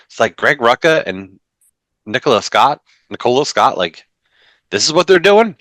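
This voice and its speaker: A man in his forties co-hosting a comics podcast from home over a video call, animated with joking asides. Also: mid-range voice, moderate pace, no echo. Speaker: podcast co-host. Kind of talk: casual chat about comics news.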